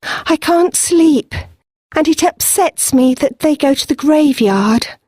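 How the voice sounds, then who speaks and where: A woman's voice: high-pitched, animated, slow pace, warm tone, no echo, studio quality, pitched above the performer's own voice. A woman voicing a worried, apologetic elderly village lady in a storybook reading. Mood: anxious, apologetic. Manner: apologetic, fretful.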